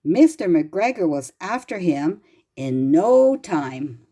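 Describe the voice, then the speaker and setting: A woman narrates a children's storybook at a slow, careful pace. Her voice is soft-edged and mellow, with plenty of rise and fall in pitch. She reads expressively and gently, as if to small children. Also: very animated. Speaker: storybook reader.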